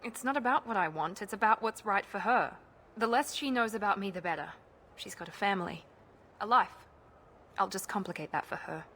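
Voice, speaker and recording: A woman's voice: mid-range voice, brisk, a bit bright, a little hiss or hum, lightly acted. A woman voicing a guarded, determined young operative hurt by betrayal, in video game drama. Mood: subdued. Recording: dramatic game music behind.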